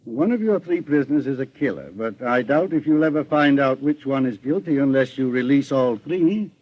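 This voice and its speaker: An American man playing a composed, methodical private investigator in an old-time radio drama, speaking in a measured, formal way. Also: mid-range voice, lively intonation, lightly acted. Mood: confident, deliberate. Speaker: radio actor. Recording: dull vintage radio recording.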